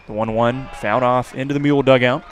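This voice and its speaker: A man's voice: medium pitch, steady tone, quick pace. A man with an American accent doing live radio play-by-play commentary of a baseball game as announcer. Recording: clear background noise from outdoor ballpark.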